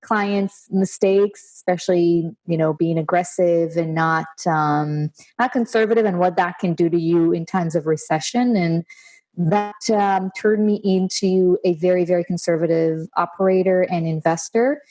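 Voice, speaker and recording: A woman in her thirties from Israel, a guest on an interview, talking relaxed and fluent with filler words. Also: fairly low pitch, moderate pace. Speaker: guest, real estate investor. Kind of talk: conversational interview.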